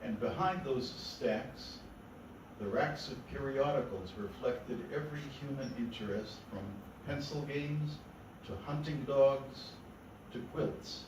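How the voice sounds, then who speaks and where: A man gives a poetry reading in a deliberate, unhurried way, pausing between phrases. He is heard from far off in a strongly echoing room, with clear noise behind him. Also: medium pitch. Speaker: poet reading own work. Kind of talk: poetry reading to a live gathering. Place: indoor literary meeting room. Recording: echoey room from far off, noisy.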